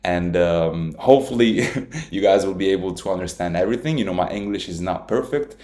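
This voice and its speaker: Earnest young man, low voice, echoey room. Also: lively intonation, fairly quick, darkish tone. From Italy. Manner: earnest, repetitive, self-taught English with small slips. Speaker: trading educator. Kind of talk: casual talking-head lecture.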